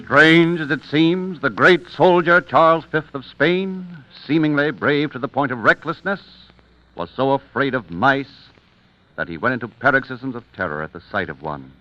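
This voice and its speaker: A man's voice: medium pitch, expressive, moderate pace, pushed and bright. A man narrating a true-oddity story in a dramatic, scripted old radio style. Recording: noisy, dull old radio recording.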